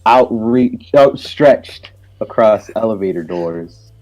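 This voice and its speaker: A man's voice: lowish voice, expressive, slow pace, a little mellow, low-quality recording. A man reading first-person story narration from a visual novel in a casual way.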